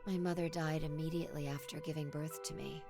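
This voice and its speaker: A woman playing a weary, guarded young woman in a video game, speaking softly and hesitantly over background music. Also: sits low, fairly even intonation, quick pace. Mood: subdued, reflective. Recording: game dialogue under loud background music.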